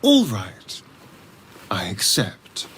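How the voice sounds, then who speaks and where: A man's voice: on the low side, wildly swooping pitch, extremely slow, a bit bright, a little hiss or hum, heavily acted. A man with a British accent playing a sardonic, sly vampire rogue in a video game, with a haughty, theatrical drawl. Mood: disdainful. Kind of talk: dramatic video game character dialogue.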